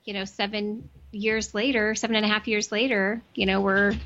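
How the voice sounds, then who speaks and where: A woman chatting as a podcast guest, talking easily with many ums and restarts, her voice a bit worn and clearing her throat now and then. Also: mid-range voice, flat delivery, normal speed, a bit bright, lo-fi sound. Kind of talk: casual interview chat.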